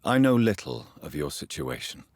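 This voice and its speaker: A grave British gentleman vampire doctor for a video game. His voice is very deep and markedly husky, with expressive rises and falls. He speaks in formal, measured period phrases, courteous and earnest. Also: no room sound, studio quality, exaggerated voice. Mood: calm, inquiring. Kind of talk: dramatic game dialogue, formal period speech.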